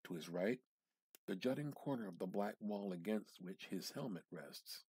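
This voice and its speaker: A man giving a measured, theatrical reading of a literary short story, drawing out words and pausing for effect. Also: on the low side, expressive, a little mellow, breathy edge, dry recording. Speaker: amateur reader practicing dramatic reading.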